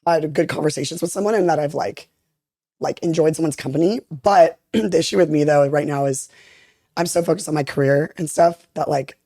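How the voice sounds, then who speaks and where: A young woman in her twenties with a deep voice, chatting candidly and rambling on a podcast, filling her talk with "like". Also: fairly quick, warm tone, dry recording, studio quality. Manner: candid, rambling, heavy use of "like". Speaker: interviewee. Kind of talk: casual podcast chat.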